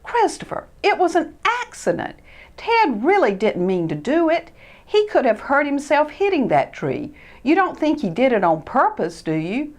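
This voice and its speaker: A woman's voice: high-pitched, wildly swooping pitch, normal speed, voice pushed up. A woman voicing a sensible young boy mouse friend who speaks earnestly and urges action. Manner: earnest, urging.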